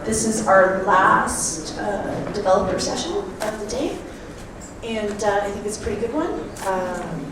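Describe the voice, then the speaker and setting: A woman's voice: mid-range voice, measured pace, background noise. Woman host in an echoey conference hall. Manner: casual, hesitant with fillers. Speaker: session host. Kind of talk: introducing a speaker. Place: large hotel conference room. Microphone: handheld mic, heard from a far camera. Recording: echoey, distant room with audience.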